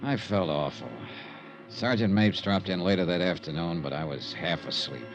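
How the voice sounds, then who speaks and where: An actor playing a wry, hard-boiled insurance investigator in a 1950s radio drama, narrating in a dry, clipped way. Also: male voice, deep voice, moderate pace, muffled recording, lightly acted. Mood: wry, matter-of-fact. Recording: vintage radio recording with music behind.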